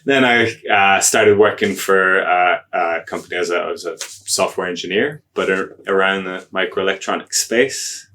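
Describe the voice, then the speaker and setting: A man with an Irish accent, a guest on a podcast, chatting casually in a relaxed, self-deprecating way. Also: low voice, normal speed, hardly any pauses, slight background noise. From Ireland. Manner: relaxed, self-deprecating, hesitant with many ums. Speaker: podcast guest, agile coach.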